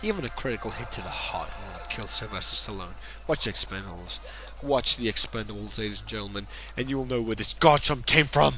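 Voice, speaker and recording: A man's voice: on the low side, brisk. A tipsy man giving casual, rambling play-by-play commentary on a game, joking and swearing as he goes. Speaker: gameplay commentator. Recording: voice over loud game music. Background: game music.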